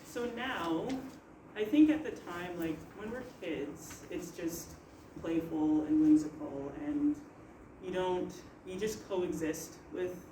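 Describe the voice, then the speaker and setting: A woman's voice: on the low side, slow pace, warm tone, a little hiss or hum. A young woman on a panel speaking earnestly and thoughtfully in a casual way. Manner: earnest, thoughtful, many fillers. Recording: echoey room, far from mic.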